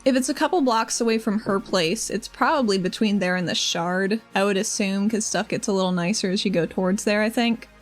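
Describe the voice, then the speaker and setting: A woman's voice: medium pitch, a little fast, hardly any pauses, faint music behind. A woman as a player in a tabletop game, chatting casually as she improvises what her character does.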